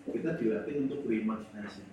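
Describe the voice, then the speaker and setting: A man, a guest in a casual interview, telling lively stories and often finishing the host's phrases. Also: on the low side, fast. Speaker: guest, animation studio founder. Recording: echoey room, distant mic, faint noise.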